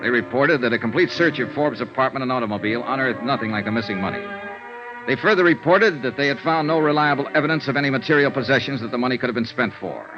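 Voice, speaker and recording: An American actor playing a brisk, wry insurance investigator in clipped, vintage radio-drama style. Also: male voice, medium pitch, animated, close to an everyday voice. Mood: dry narration. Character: brisk, assured male insurance investigator, wry and hard-boiled. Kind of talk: 1950s radio acting, first-person detective narration and dialogue. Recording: dull vintage radio recording, music behind.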